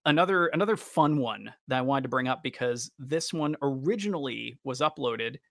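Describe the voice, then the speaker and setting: A man co-hosting a casual fan podcast, talking in a halting but enthusiastic way. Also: medium pitch, expressive, gravelly, fairly bright tone, no room sound, studio quality. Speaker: podcast co-host.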